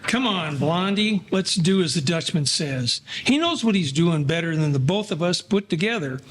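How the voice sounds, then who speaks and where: A man's voice: on the high side, animated, moderate pace, a bit bright, slight background noise. A man playing a chipper, ribbing 1940s gangster sidekick in an old-time radio crime drama. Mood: urging.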